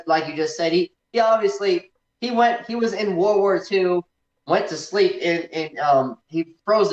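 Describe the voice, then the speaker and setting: A man co-hosting a casual film review chat, speaking in an informal, enthusiastic way. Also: a little high. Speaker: podcast host. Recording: echoey room, slightly dull sound.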